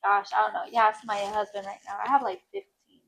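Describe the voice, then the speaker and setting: A woman in her thirties with a naturally high-pitched voice, chatting as an interview guest about modeling and often laughing. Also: little pitch movement, brisk, a bit bright. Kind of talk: casual conversational interview.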